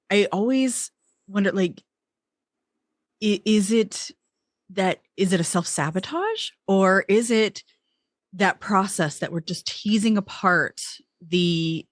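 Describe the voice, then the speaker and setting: Woman, podcast host, lowish voice, thoughtful, thinks aloud, dry close sound. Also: dry recording. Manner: reflective, thinks aloud with restarts. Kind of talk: conversational podcast interview.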